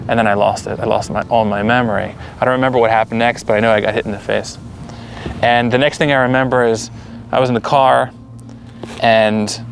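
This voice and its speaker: An American man, a guest, calmly telling personal stories in an interview. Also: mid-range voice, creaky, slight background noise. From United States.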